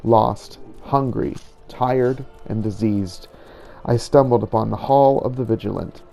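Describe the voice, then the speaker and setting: A man narrates his fantasy game character's journey like a journal. His voice is low and dark, with a strong gravelly creak. The delivery is scripted and measured. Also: soft background music, low-quality recording.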